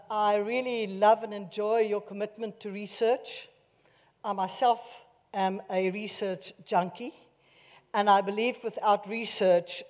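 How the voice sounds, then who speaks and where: A South African woman in her sixties, a mayor giving a loud, forthright, jovial vote of thanks at a podium microphone. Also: medium pitch. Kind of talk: formal public speech. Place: large marquee tent at an outdoor event. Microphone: podium microphone on a stand. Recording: reverberant live event sound.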